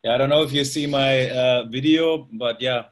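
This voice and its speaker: A young man with a German accent talks casually on a video call, upbeat and quick to joke. His voice comes through a laptop webcam mic in a small room. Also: mid-range voice. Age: young adult. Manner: upbeat, joking, chatty. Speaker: presenter. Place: small room indoors at night.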